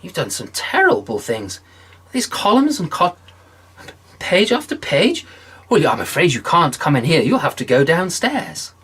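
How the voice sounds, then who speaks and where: A man's voice: fairly high pitch, wildly swooping pitch, a little slow, fairly bright tone, slightly rough, pitched above the performer's own voice, full character voice. A man voicing a fussy old saint keeping heaven's gate, reading from a ledger in a told story.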